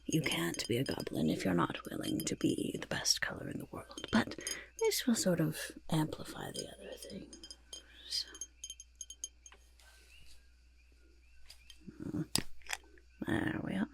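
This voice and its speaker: Croaky, whispery, boastful goblin. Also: female voice, sits low, huge pitch swings, normal speed, exaggerated voice. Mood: proud, instructive. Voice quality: raspy, croaky, breathy half-whisper. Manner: speaks of self in third person, fussy, emphatic repetition. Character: boastful, eager goblin potion-peddler of playful temper. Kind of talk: soft-spoken ASMR roleplay.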